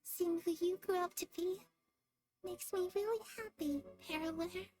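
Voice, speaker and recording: A very high-pitched little girl from a video game. She sounds childlike, tender and earnest. Faint music plays behind her. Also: normal speed, a little mellow. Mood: tender, bittersweet.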